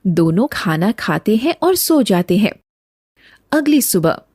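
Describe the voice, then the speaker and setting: A woman's voice: mid-range voice, very animated, unhurried, darkish tone, breathy edge, no room sound, clean studio sound. A woman reading scripted narration for a cartoon moral story. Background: background music.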